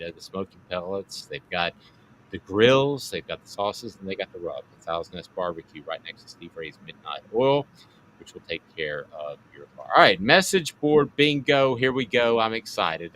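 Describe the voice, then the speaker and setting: A lowish, forceful male voice hosting sports talk radio, pushing each segment with big announcer hype. A faint hiss sits under the talk. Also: low-quality recording. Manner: hammy, announcer-style hype.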